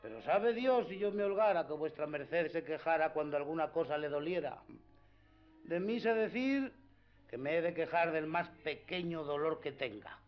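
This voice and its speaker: A male actor plays a simple, earthy squire, loyal but plaintive. His voice sits fairly high and sounds homely and deferential. It is a muffled radio drama recording with faint music underneath. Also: animated, moderate pace, close to an everyday voice. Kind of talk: radio theatre acting.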